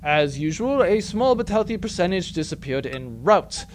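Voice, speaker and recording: A man's voice: high voice, very animated, normal speed, slight background noise. A man playing a casual, roguish starship captain with a mock-official manner in a comic audio drama. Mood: smug, cheerful. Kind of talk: comic space-opera audio drama acting.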